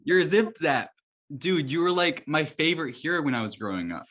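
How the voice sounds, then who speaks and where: A man's voice: on the high side, sing-song, a little fast, a bit bright. A man playing a polite, hesitant young rookie superhero in an amateur table read, speaking casually. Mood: awkward, friendly.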